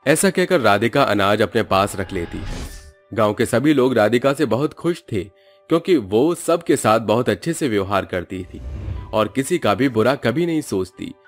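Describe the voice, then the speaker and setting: A man's voice: on the low side, expressive, normal speed, soft background music. A man narrating a scripted cartoon story as the storyteller.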